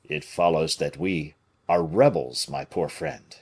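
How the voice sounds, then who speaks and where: A man reading an audiobook, voicing a grave, measured conspirator bishop who speaks in a solemn, placating way. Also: extremely low pitch, very animated, creaky, a little mellow, slightly rough, voice pushed down, full character voice. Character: Aramis, grave, smooth-talking conspiring bishop.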